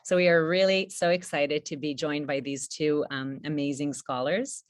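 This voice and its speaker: Woman, very low pitch, dry close sound, panel co-host, halting searching delivery. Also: no echo. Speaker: artist co-hosting a panel event. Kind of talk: introducing speakers at a scholarly panel.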